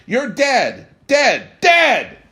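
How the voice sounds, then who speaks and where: A loud, bratty little boy with a toy gun, yelling and taunting in a shrill voice pitched far above the man doing it, a storyteller's own. He jeers and shouts without letting up. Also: wildly swooping pitch, moderate pace. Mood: bratty shouting. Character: bratty seven-year-old boy with toy pistol.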